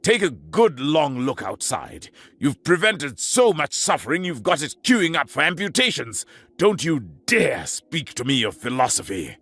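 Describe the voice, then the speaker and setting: A man voicing a weary army field surgeon who talks in a low, flat, cold voice that can turn into a vicious hiss. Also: measured pace, husky, dry recording, heavily acted.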